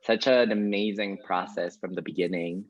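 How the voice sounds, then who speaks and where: A man's voice: sits low, fairly even intonation, normal speed. A man speaking as a guest in a casual, earnest, reflective interview style. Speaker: guest playwright and actor. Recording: very roomy, dull lo-fi audio.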